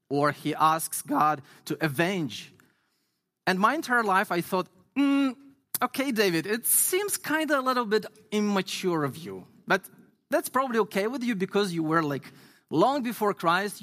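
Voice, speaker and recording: A man from Ukraine, an English speaker, preaching a sermon earnestly and reading scripture slowly with emphasis. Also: sits high, fairly bright tone. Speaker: guest pastor.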